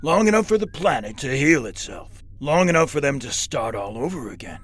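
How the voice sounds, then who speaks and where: A woman's voice: deep voice, big pitch swings, a little fast, breathy edge, full character voice. A woman playing a weary, imperious alien celestial supervisor in a satirical sci-fi audio play, dry and authoritative. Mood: matter-of-fact.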